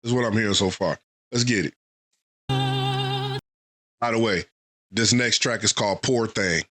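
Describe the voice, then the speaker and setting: A man's voice: mid-range voice, unhurried, a bit bright. A man recovering from a head cold, giving loose, rambling album-review commentary with lots of fillers. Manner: loose, thinking aloud with many fillers. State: getting over a head cold. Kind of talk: casual reaction commentary.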